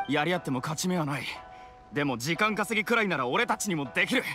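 An eager young gang underling from an anime-style game. He talks fast in a high, bright male voice, with music behind. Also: animated. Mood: urgent, eager.

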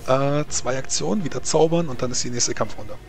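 A man's voice: mid-range voice, fairly quick, gravelly, darkish tone. A man running a fantasy tabletop game as game master, improvising as he narrates. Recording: music playing under the voice. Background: music.

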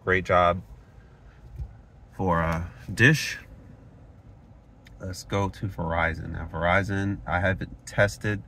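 A man's voice: low-pitched, fairly even intonation, slow, pauses often, fairly bright tone, a little hiss or hum. A man sitting in a parked car, recording with a handheld phone, casually talking through network speed tests and reading results off the screen. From Florida, United States. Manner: plain and explanatory, reads numbers off the screen. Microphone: handheld phone camera.

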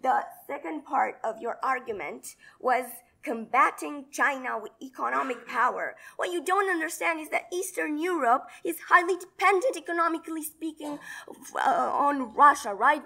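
A young woman from Romania, delivering a rapid, combative debate speech full of rhetorical questions in a large hall, heard from a far camera. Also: high-pitched, vocal fry, bright, forceful tone. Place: large indoor conference hall.

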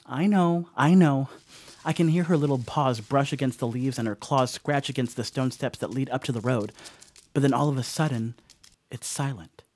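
An actor playing a sheepish young stoner house-sitter in an audio horror monologue, rambling apologetically with casual asides. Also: male voice, medium pitch, darkish tone, no echo, natural voice.

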